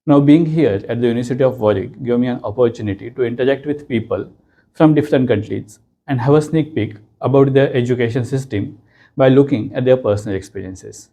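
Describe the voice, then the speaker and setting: A man's voice: medium pitch, brisk. A man who is a teacher hosting a YouTube interview, asking short questions and giving frequent mm-hmms. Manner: short prompts and steady mm-hmms.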